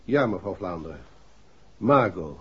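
Casting: courteous, probing detective inspector in 1960s radio drama; rough husky tone, dull old recording. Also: male voice, sits low, animated, fairly bright tone, full character voice. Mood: grave. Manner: formal, measured, inquisitive. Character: polite, probing male police inspector on a murder case. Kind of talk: 1960s radio play acting.

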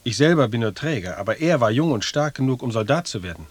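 An actor in an audio drama playing a patient, stubborn expedition porter who pleads in a plain, deferential but insistent way. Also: male voice, medium pitch, animated, fast talker, slightly rough, no echo. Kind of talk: German audio drama acting.

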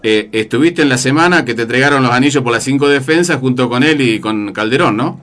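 Argentine radio host, halting questions. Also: male voice, mid-range voice, fairly bright tone. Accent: Argentine Spanish. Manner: hesitant, many fillers, leading questions. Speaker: radio boxing show host. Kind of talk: radio interview.

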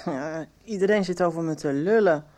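A woman's voice: lowish voice, moderate pace, mellow, close to an everyday voice. An actress playing an insecure, evasive schoolgirl who answers reluctantly in a radio soap. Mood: upset, defensive. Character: defensive, insecure teenage schoolgirl. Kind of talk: Dutch radio soap acting.

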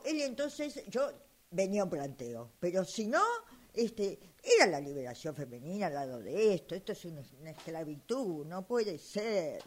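A woman's voice: medium pitch, natural voice. A woman playing an exasperated, insistent elderly widow in an amateur radio drama, complaining in a flustered, dramatic way. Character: exasperated elderly widow fed up with her phone.

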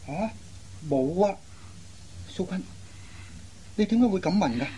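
A woman voicing a gentle, concerned young man in a radio drama, with an earnest, solicitous manner. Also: fairly low pitch, expressive, a little slow, breathy edge, full character voice. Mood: puzzled, alarmed. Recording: faint background noise.

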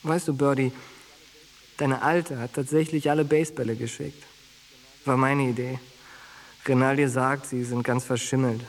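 A man's voice: mid-range voice, fairly even intonation, moderate pace, pauses often, lightly acted, poor audio. An actor playing a wounded young soldier in a radio drama, earnest, plain-spoken and fiercely loyal to his friend. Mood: bitter. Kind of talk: radio drama acting, intimate monologue and dialogue.

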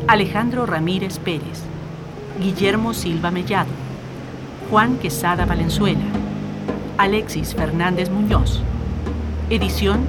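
A woman reading scripted closing credits as the announcer of a radio drama. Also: lowish voice. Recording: loud music under the voice.

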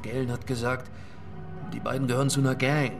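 A man's voice: mid-range voice, flat delivery, fairly quick, gravelly, over music, close to an everyday voice. Nervous, submissive pimp, acted by a man. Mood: wary. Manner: pleading, submissive. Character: nervous, cowed male pimp under interrogation. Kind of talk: crime radio drama acting.

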